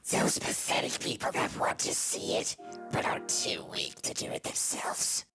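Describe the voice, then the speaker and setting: A woman's voice: measured pace, natural voice. A woman voicing a soulless, bored talking flower in a dramatic game fan dub, confiding and theatrical, swinging between wistful and menacing. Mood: menacing whisper. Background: game soundtrack music.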